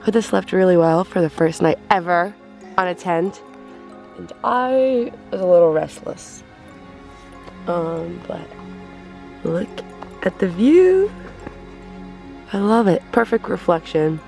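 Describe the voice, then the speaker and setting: A woman's voice: lowish voice, big pitch swings, slow pace, gravelly, pauses often, warm tone, soft background music. Chatty young woman vlogging outdoors. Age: young adult. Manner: chatty, laughs often. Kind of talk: casual vlog chat. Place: outdoors on a camping trip. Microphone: handheld camera.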